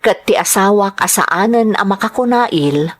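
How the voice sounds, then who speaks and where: A woman's voice: mid-range voice, expressive, measured pace. A woman acting a burdened young wife and mother in an Ilocano melodrama, earnest and sorrowful, with an Ilocano accent. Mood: somber narrating. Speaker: drama lead and narrator.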